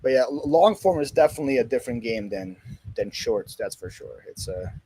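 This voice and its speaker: A man's voice: mid-range voice, big pitch swings, a little fast, mellow, slightly rough, poor audio. A man in his thirties from Ukraine with a Ukrainian accent tinged with Philadelphia, hosting a casual chat and joking and laughing at his own lines. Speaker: host.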